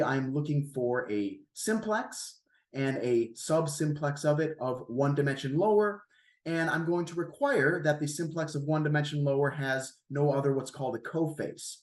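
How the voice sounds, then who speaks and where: Echoey male lecturer, slightly raspy from a cold. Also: fairly high pitch. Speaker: guest seminar lecturer, math researcher. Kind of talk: academic lecture to a seminar. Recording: roomy, echoey sound.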